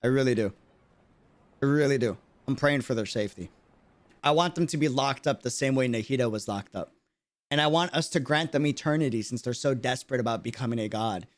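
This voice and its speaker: A man's voice: medium pitch, fairly quick, no room sound. Guy streaming, slangy and earnest, chatting casually over a game, dry mic, repeats stuff for emphasis. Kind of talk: casual livestream game commentary.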